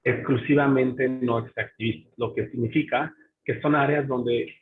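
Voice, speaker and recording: A man, a marine researcher, giving an online academic presentation from home in a measured, explanatory way, pausing to find his words. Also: mid-range voice, steady tone. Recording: tinny phone-like webinar audio.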